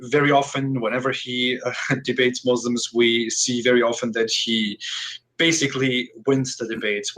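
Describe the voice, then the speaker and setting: A man's voice: medium pitch, fairly bright tone, low-quality recording. A man hosting an audio call interview, speaking hesitantly with frequent restarts. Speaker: host.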